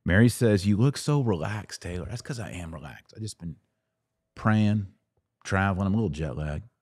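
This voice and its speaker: Deep-voiced American man, casual podcast host. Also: lively intonation, normal speed, darkish tone, no room sound, studio quality. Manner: informal, playful slang. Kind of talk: casual livestream chat answering viewer comments.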